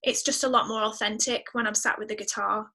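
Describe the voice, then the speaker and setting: A woman's voice: medium pitch, steady tone, fast, sharp tone, husky. A woman talking casually as an interview guest, reflective and enthusiastic about music. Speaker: guest singer-songwriter.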